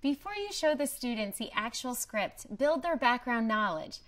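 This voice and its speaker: A woman presenting a promotional training video in a scripted, clearly structured explanatory way. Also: on the high side, big pitch swings, normal speed, a bit bright, soft background music. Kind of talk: scripted instructional narration.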